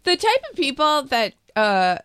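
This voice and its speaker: A woman co-hosting an opinionated talk show, sniffly, talking fast and loose with lots of "like"s. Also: high-pitched, very animated, a bit bright, dry recording, studio quality. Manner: quick reactions, talky with many "like"s.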